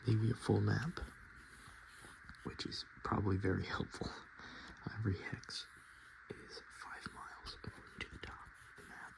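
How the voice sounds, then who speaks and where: A man doing softspoken, whispered ASMR, reading and commenting informally in a breathy, unhurried way and correcting himself as he goes. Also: little pitch movement, poor audio. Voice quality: breathy whisper mixed with low, creaky soft speech. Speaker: ASMR host browsing a tabletop game book.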